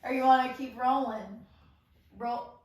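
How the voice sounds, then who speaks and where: A woman's voice: sits high, fairly even intonation, a little slow, darkish tone, dull audio. A woman informally narrating stage directions as the leader of a script table read. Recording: echoey room, distant mic, faint music.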